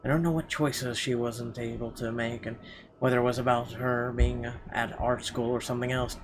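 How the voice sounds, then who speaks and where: A man playing a game on stream, reading its narration aloud haltingly and reacting casually and bluntly. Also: medium pitch, little pitch movement. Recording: clearly audible background noise.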